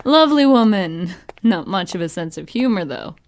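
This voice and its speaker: A woman's voice: mid-range voice, lively intonation, normal speed, a little hiss or hum, natural voice. A woman playing a confident, probing paranormal investigator in an audio drama, with a wry, self-assured manner. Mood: wry, teasing.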